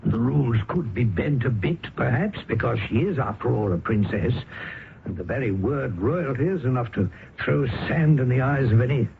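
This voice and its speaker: A man's voice: medium pitch, lively intonation, normal speed, creaky, narrow, tinny audio, a little hiss or hum. An actor playing a worldly, dryly ironic male writer who also narrates a radio drama, on an old broadcast recording. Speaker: narrator and character. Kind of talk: 1970s radio drama acting.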